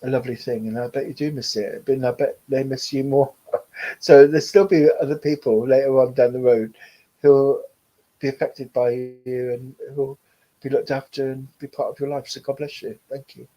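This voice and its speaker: A man working as a medium, giving a live online reading in a gentle, rambling, reassuring way with frequent fillers and soft laughs. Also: mid-range voice, steady tone, moderate pace, rough recording.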